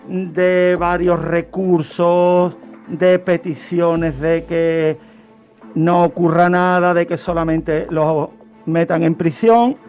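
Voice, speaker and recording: A Spanish man with a distinctly high-pitched voice presents a crime case on the radio. He explains things like a teacher, part scripted and part off the cuff, with questions for the listeners. Music plays faintly underneath. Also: darkish tone, highs cut off. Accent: Spanish, from Spain. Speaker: true-crime segment presenter on a radio show.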